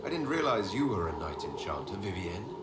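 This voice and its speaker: An actor playing a resolute Inquisitor in a video game, speaking with firm, measured authority. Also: male voice, sits low, very animated, very noisy. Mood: composed, curious. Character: commanding male Inquisitor, resolute military leader. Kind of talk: dramatic video game acting. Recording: roomy echo, faint music behind.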